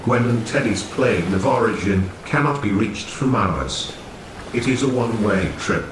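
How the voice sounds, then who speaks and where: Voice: mid-range voice, expressive, measured pace, natural voice. An actor playing a solemn, guarded mystic hero from the future in a comic dub, grave and formal. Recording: echoey, noisy home recording.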